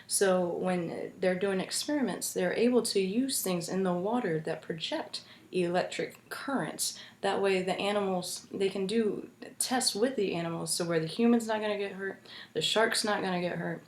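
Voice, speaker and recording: A young American woman giving an informative class speech in an earnest, halting way, with frequent restarts, at home with her roommates listening. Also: mid-range voice. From United States. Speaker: student speaker. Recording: echoey room.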